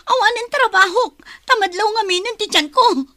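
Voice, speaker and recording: A woman with an Ilocano Filipino accent playing a bitter, quick-tempered young pregnant woman in a radio serial, with emotional, accusing delivery. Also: very high-pitched, bright, forceful tone, no room sound, clean studio sound. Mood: furious. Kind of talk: radio serial drama acting.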